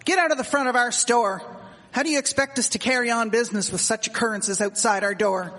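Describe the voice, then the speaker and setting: A woman's voice: on the high side, quick pace, fairly bright tone. Woman playing a prim Victorian scold in a hall. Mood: scandalized, scolding. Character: prim, disapproving Victorian woman, uneasy and indignant. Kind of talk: live radio drama acting. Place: performing arts centre stage. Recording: long hall reverb of live stage.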